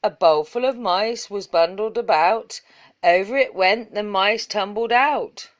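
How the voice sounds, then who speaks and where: A woman reads a children's story aloud at a slow pace. Her delivery is measured and follows the rhythm of the rhyming verse, with a middling pitch. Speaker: story reader.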